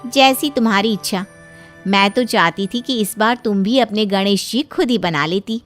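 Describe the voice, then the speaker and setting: A woman's voice: medium pitch, normal speed, faint music behind, natural voice. A woman voicing an earnest, persuasive female friend in a cartoon moral story. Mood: earnest, persuading.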